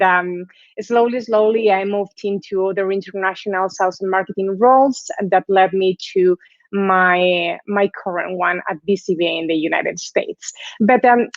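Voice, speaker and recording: A woman's voice: mid-range voice, dry recording. A woman with a Spanish accent speaks to an online class, chatty and friendly, often checking in with her listeners. The sound is dry and close, with the slightly dull tone of a video call. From Spain. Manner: warm, chatty, tags phrases with "right?". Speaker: guest lecturer. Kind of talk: online lecture presentation.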